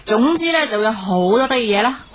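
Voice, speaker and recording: An actress playing a studious, dreamy young graduate in a radio drama. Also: female voice, a little high, animated, quick pace, slight background noise, lightly acted. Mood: bright, dreamy. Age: young adult. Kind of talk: Cantonese radio drama acting.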